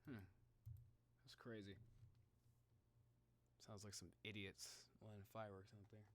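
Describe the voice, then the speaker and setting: A young man reacting on a live stream in casual, slangy chat, excitable and thinking out loud. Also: on the low side, a little slow, a little hiss or hum. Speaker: streamer reacting to game videos.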